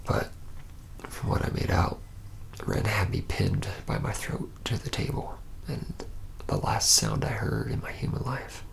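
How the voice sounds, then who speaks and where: A man playing a haunted, reluctant young vampire in a whispered ASMR roleplay, telling his story haltingly in a breathy, gravelly voice. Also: fairly low pitch, sing-song, a little hiss or hum, exaggerated voice. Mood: grim. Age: young adult. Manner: halting, hesitant storytelling with heavy pauses.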